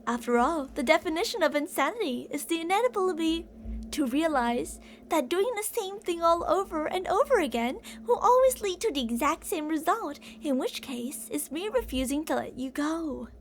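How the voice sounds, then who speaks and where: Obsessive female yandere captor played by a woman, ASMR roleplay: high, very animated, theatrical, sugary endearments laced with menace. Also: normal speed, slight background noise, exaggerated voice. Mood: musing, possessive. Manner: theatrical, teasing sing-song with endearments and threats. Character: older obsessive yandere woman kidnapper, possessive, menacing, sweet-talking.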